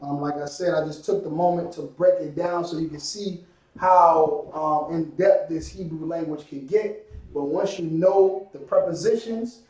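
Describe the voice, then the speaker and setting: A man teaching a language class, drilling words slowly and quizzing his student. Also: on the high side, dark tone, recorded from a distance. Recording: echoey room, voice from off-mic.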